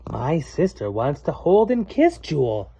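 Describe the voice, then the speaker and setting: A man's voice: a little high, sing-song, unhurried, warm tone, a little hiss or hum. A man reading a children's storybook aloud to a child, playful and theatrical, stopping to explain words simply.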